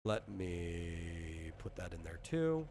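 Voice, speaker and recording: A man's voice: low-pitched, very animated, slow pace, darkish tone, slight background noise. Rambling man explaining game rules casually. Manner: rambling, conversational, frequent "like" asides. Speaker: game master and designer.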